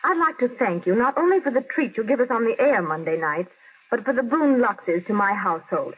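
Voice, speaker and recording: A woman's voice: on the high side, lively intonation, fairly quick, breathy edge. A woman reading out a testimonial letter in the manner of a 1930s radio advertisement. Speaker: listener testimonial for sponsor. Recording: tinny, telephone-like vintage radio broadcast.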